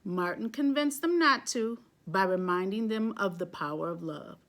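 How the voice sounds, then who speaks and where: A woman reading a children's picture book aloud, phrasing deliberately and stressing key words. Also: mid-range voice, animated, fairly bright tone.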